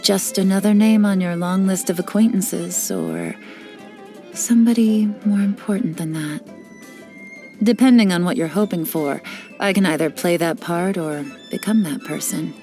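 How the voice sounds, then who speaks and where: An actress playing a cool, cunning female spy and information broker, speaking with confident, sly, measured delivery in game voice lines. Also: fairly low pitch, animated, mellow, soft background music. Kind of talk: scripted character voice lines.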